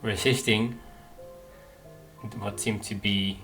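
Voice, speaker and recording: Low-voiced man commentating on a game. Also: fairly even intonation, a bit bright, faint music behind. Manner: halting, thinking aloud. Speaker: gaming video host. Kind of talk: casual playthrough commentary.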